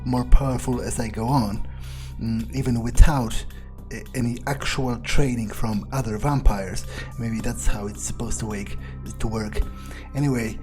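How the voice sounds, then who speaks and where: A man role-playing a proud, power-hungry, foul-mouthed vampire in a video game playthrough, thinking aloud in a halting way. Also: medium pitch, darkish tone, natural voice. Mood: gloating reverie. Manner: musing aloud, halting, self-satisfied. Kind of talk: in-character game playthrough monologue.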